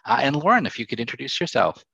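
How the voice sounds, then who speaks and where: A man's voice: medium pitch, very animated, quick pace, vocal fry, sharp tone, husky, dry recording, lo-fi sound. A man moderating a panel discussion in a conversational way.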